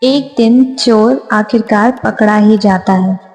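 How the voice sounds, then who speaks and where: A woman's voice: mid-range voice, slow, darkish tone. A woman narrating a scripted moral story for a cartoon. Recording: long reverb, music behind.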